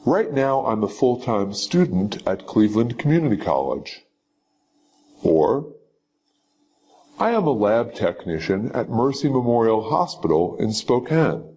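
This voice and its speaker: A man reading scripted sample answers for a citizenship interview in a slow, measured, instructive way. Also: mid-range voice, a little mellow, slight background noise. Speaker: teacher.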